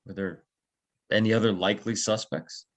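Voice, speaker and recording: A man playing a calm, probing security guard turned investigator in a naturalistic script read, low-key and terse. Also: moderate pace, lightly acted.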